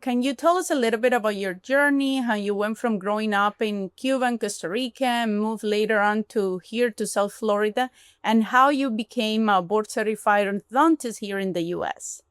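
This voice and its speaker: A woman hosting a podcast interview, enthusiastic, her phrasing slightly halting. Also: on the high side, clean tone. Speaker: podcast host.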